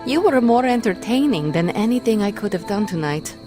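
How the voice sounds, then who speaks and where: A woman's voice: mid-range voice, animated, brisk, music in the background, lightly acted. A woman playing a playful, mischievous hero who teases with amusement in a video game cutscene. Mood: amused teasing.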